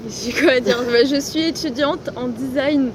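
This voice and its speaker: A woman's voice: high voice, moderate pace. Chatty young woman outdoors in city noise. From Italy. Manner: chatty, laughs often, fills with "genre". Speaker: guest. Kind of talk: casual chat. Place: outdoors in a city on a hot day.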